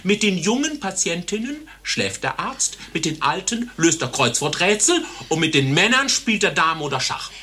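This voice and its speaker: A pompous world traveller in a satirical radio play. His high voice swings widely up and down with a husky edge as he lectures, utterly sure of himself. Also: big pitch swings, normal speed, hardly any pauses, pushed and bright, heavily acted. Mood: smug, lecturing. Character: self-important, well-travelled male patient, serenely absurd raconteur.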